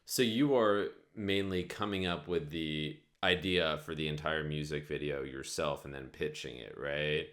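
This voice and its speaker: A man's voice: a bass voice, a little slow, hardly any pauses, fairly bright tone. A man hosting a podcast, asking curious, meandering questions in a casual conversational manner. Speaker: podcast host.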